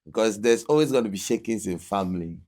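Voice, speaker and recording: A man's voice: lowish voice, fast talker, darkish tone. A man hosting a podcast conversation, talking casually and stumbling over repeated words as he searches for them. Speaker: podcast host. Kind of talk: casual interview chat.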